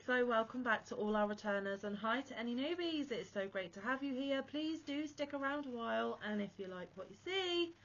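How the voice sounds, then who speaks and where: A woman's voice: a little high, animated, a little fast, hardly any pauses, fairly bright tone, highs cut off. A woman hosting a crochet channel, chatting casually to camera while unwell, a bit wheezy and chesty, with a rambling, self-deprecating manner.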